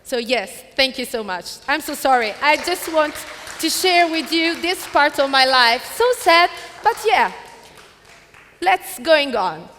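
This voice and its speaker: A woman speaking on stage in halting English, in short broken phrases. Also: high-pitched, lively intonation, pushed and bright, slight background noise. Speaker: event speaker and presenter. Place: theatre stage. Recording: echoey hall, long reverb.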